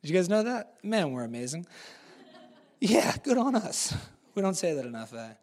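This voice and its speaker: A man from New Zealand with an American accent, preaching a live sermon in a church in a conversational, animated way with casual fillers. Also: high voice, normal speed. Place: church service.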